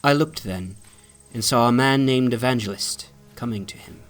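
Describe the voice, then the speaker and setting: A male narrator reads a classic tale with solemn weight. He phrases deliberately and leans into dramatic emphasis, with music playing behind him. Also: medium pitch. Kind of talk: dramatic literary reading, scripted narration.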